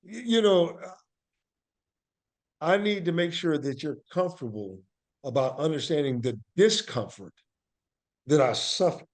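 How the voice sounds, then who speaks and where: A man with a somewhat higher-pitched voice speaks as an interview guest. He tells stories in a conversational way and makes his points in deliberate, emphatic short statements. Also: lively intonation. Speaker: podcast guest, actor and playwright.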